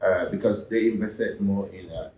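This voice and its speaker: A man's voice: sits low, steady tone, normal speed, darkish tone. Boastful man guest, echoey room. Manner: boastful, hesitant fillers. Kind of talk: casual chat.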